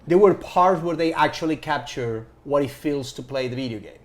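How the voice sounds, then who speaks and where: A man on a podcast panel chatting casually and excitably, often repeating himself. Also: on the high side, normal speed, crowd noise. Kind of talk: casual chat.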